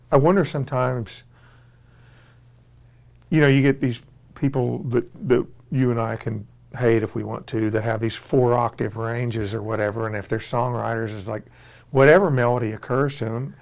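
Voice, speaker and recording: A male host interviewing a musician in a friendly, curious way, quick to laugh. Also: mid-range voice, fairly even intonation, gravelly, a little mellow. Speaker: radio show host. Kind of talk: casual interview chat.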